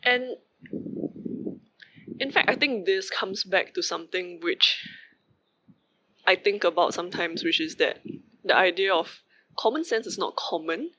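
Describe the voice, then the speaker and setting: A young Singaporean man speaks at a fairly high pitch, in a bright, forward voice. He thinks out loud in a loose, meandering way, with plenty of ums and side remarks. A faint hum of room ambience sits behind him. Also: low-quality recording. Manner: rambling, many fillers and asides. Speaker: vlogger. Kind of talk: casual reflective monologue.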